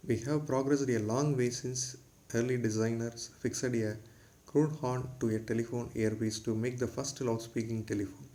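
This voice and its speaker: A man's voice: medium pitch, fairly even intonation, moderate pace, dark tone. A man giving a formal, scripted lecture, read aloud in a textbook-like way.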